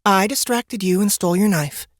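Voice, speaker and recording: A woman's voice: mid-range voice, normal speed, dry recording, studio quality, lightly acted. An actress playing a sly, upbeat wife and mother in a fantasy audiobook, with a playful delivery. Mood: pleased, teasing. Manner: playful, animated. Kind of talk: dramatic audiobook character dialogue.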